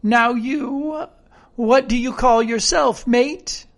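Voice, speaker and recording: Storybook castaway seaman, played by a woman: cracked in the head, crafty, eager as a child, high-pitched rustic talk. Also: takes it slowly. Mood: sly, delighted. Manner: rustic, ungrammatical sailor speech. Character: half-crazed marooned old sailor, sly, childishly eager man. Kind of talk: audiobook character voice.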